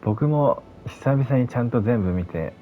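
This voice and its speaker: A man's voice: mid-range voice, lively intonation, fairly quick, dark tone, a little hiss or hum. A man hosting a video channel, giving casual commentary to viewers on a dull recording.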